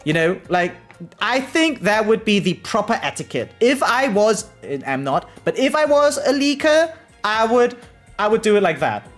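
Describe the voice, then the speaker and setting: A man's voice: high-pitched, very animated, moderate pace. Rambling male streamer over music. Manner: rambling, repetitive, slangy and profane; frequently tags sentences with "right?". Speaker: variety game live streamer. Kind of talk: casual chat with live stream viewers.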